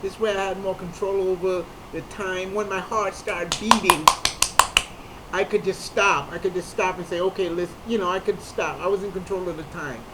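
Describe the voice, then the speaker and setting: A comedian, a man with a high voice for a man, telling a personal story in an earnest, halting way with frequent restarts. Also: fairly even intonation, very noisy. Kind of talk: personal talk to camera.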